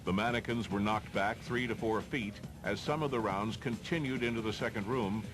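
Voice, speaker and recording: A man's voice: on the low side, normal speed, music in the background, poor audio. A man with an American accent reads formal, matter-of-fact instructional narration for a training film.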